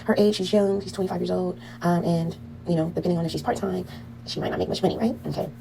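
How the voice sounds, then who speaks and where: A woman's voice: on the low side, fairly even intonation, quick pace, gravelly, warm tone, a little hiss or hum, poor audio. A woman teaching a casual online class on medical billing, full of "um"s and "okay?" checks to her students. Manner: chatty, frequent "um" and "okay?" check-ins.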